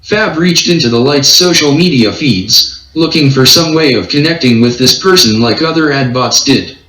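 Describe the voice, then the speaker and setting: Synthetic man's voice, roomy echo, middle pitch, storybook narration, even and impersonal. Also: moderate pace, slight background noise. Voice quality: synthetic read-aloud voice. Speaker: text-to-speech story reader.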